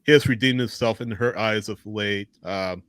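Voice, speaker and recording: Male podcast host, fairly low pitch, casual, agreeable, somewhat halting delivery, dry recording. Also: fairly bright tone, no echo. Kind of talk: casual live sports chat.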